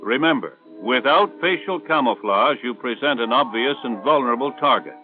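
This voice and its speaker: An American man reading scripted instructional narration for a 1960s military training film in a measured, authoritative way. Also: mid-range voice, lively intonation, faint music behind. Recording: tinny band-limited 1960s film soundtrack.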